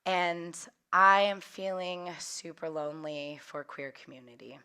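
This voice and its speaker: A young woman from California's Central Valley, hoarse and a little cracky from recovering a lost voice, leading a workshop with open storytelling and frequent laughter. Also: fairly low pitch, unhurried, bright, forceful tone. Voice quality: slightly cracky voice. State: hoarse, recovering from losing her voice. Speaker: workshop leader. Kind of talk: personal storytelling talk.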